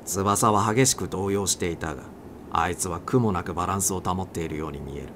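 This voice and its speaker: A man's voice: deep voice, fairly quick. A man reading a story aloud as a dramatic audiobook narrator. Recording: clear background noise.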